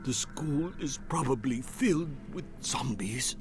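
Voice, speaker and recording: A man playing a dying, doting sensei in a video game, with halting, dramatic delivery. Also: mid-range voice, expressive, close to an everyday voice. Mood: grave, weak. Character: dying male Japanese sensei, solemn, doting, slightly lecherous. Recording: game audio with music behind.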